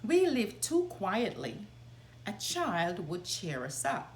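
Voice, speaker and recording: A woman's voice: medium pitch, wildly swooping pitch, slow pace, a little hiss or hum, exaggerated voice. A woman voicing a poor, loving woodcutter father in a storybook, earnest and tender. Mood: wistful.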